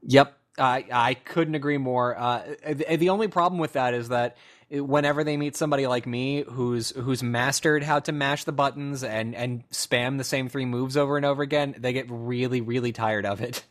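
A man hosting a casual gaming podcast, telling stories with animated asides. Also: mid-range voice. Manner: animated storyteller, quick asides. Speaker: podcast host. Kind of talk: casual chat.

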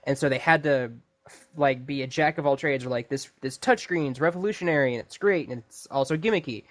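A man with a medium-pitched voice in a casual podcast discussion. He explains his points conversationally, as a co-host would, slipping into imagined quotes. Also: fairly quick.

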